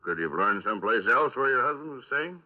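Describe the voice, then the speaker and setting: An actor playing a gruff police lieutenant in a radio crime drama, questioning with folksy, sardonic prodding. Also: male voice, mid-range voice, animated, fast, lightly acted. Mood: pressing. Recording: tinny band-limited old radio recording.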